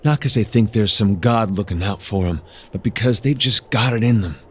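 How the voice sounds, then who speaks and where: A man's voice: fairly low pitch, moderate pace, a little hiss or hum, lightly acted. An actor playing a plain-spoken, reflective adventurer in a video game, folksy and earnest in his informal way of talking. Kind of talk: video game character acting.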